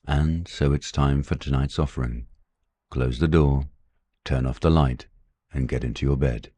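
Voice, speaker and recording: A man with a husky voice delivering a scripted late-night podcast introduction as host. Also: very deep voice, steady tone, a little slow, dark tone, no room sound.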